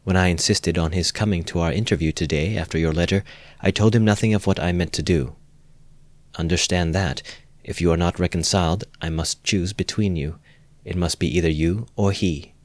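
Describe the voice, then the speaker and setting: A man's voice: sits low, no echo, natural voice. A man voicing a proud resolute young woman. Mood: earnest. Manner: earnest and deliberate.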